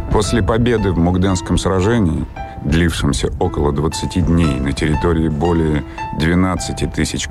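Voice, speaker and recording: A man reading scripted, documentary-like narration for a historical drama. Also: very deep voice. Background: instrumental music.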